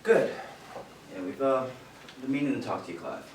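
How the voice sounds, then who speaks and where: An actor playing a menacing radio performer in a stage play, talking deliberately and threateningly as he bullies a colleague. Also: medium pitch, animated, lightly acted. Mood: falsely friendly. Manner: threatening, deliberate, falsely friendly before hard commands. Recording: echoey stage, distant mic, faint noise.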